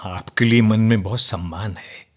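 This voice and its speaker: An actor in a radio play playing an earnest, outspoken nationalist man who debates firmly but respectfully, in deliberate, declamatory phrases. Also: lowish voice, fairly even intonation, close to an everyday voice. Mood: respectful, candid.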